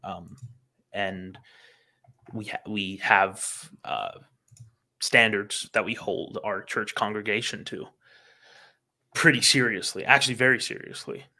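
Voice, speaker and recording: A man's voice: fairly low pitch, a little slow, long pauses, bright, forceful tone. A young man talking casually in a discussion, hesitant, with many fillers and restarts. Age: young adult. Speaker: podcast guest.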